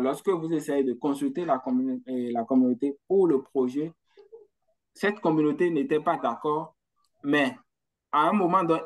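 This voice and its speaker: A man presenting in an online talk, answering a question off the cuff, hesitant, with many restarts and the tag "hein". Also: on the high side. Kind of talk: spontaneous explanation.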